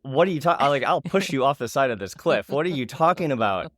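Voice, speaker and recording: A man in his forties telling a story in casual podcast chat, animated and laughing often. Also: mid-range voice, moderate pace, no echo, studio quality. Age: 40s. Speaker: podcast co-host.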